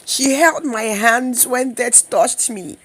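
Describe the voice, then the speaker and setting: A woman's voice: a little high, slow pace, a bit bright, husky, pitched above the performer's own voice, heavily acted. Woman acting a brave, earnest Nigerian village girl. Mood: earnest pleading. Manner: crying out, earnest.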